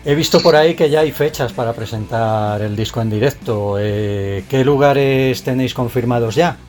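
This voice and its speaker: A man's voice: medium pitch, normal speed, a little mellow. A man from Spain interviewing a rock singer on the radio in a friendly way, putting questions and giving frequent short acknowledgements.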